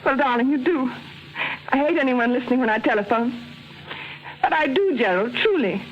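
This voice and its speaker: An actress playing a frightened wife stalling for time, in halting, theatrical old radio drama style. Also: female voice, sits high, lively intonation. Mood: nervous, evasive. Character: frightened wife desperately stalling, feigning a murderous confession. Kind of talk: 1940s radio drama acting. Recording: dull, noisy vintage radio recording.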